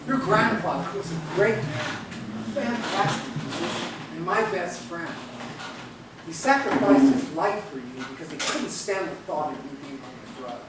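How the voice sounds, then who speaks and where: An actor playing a warm but gruff elderly mentor and bar keeper in a stage play. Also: male voice, a little high, animated, normal speed, lightly acted. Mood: solemn. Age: older. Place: theatre stage, videotaped live from a distance. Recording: echoey noisy theatre hall, distant camera mic.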